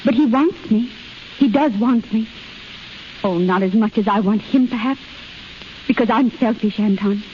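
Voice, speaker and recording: Woman acting a high-voiced wistful invalid, old radio. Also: normal speed, pauses often, natural voice. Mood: plaintive, weary. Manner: plaintive, earnest. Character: frail, lovesick, wistful young woman invalid. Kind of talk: 1930s radio drama acting. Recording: dull, hissy 1930s radio transcription.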